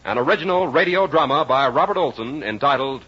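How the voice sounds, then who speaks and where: A man's voice: mid-range voice, lively intonation, brisk, fairly bright tone, breathy edge. A man announcing a story in a dramatic vintage radio style. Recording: noisy, muffled old radio recording.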